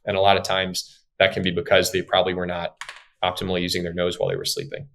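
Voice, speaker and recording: Guy in his 30s, surgeon on a podcast, lowish voice, explains cases step by step. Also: monotone, fast, creaky, fairly bright tone, slight background noise. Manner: explanatory, talks through patient cases. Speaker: ENT surgeon guest. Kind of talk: casual podcast interview.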